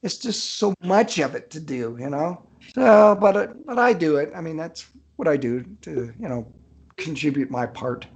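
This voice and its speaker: A man's voice: fairly high pitch, expressive, normal speed, creaky, slightly rough. An older man chatting casually as an author guest on a podcast, hesitant and rambling as he thinks aloud. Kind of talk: casual unscripted interview chat.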